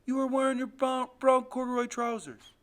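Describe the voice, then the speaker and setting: A man playing a desperate, high-pitched frightened boy. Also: big pitch swings, a little fast. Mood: urgent, accusing. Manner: pleading, shouted outbursts. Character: desperate, frightened boy confronting his murderous father. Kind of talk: audiobook character voice.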